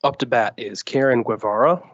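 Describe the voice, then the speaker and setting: A man chairing a public meeting, briskly reading procedural rules and calling up speakers. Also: mid-range voice, a bit bright. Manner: brisk, official. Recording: dull lo-fi meeting audio.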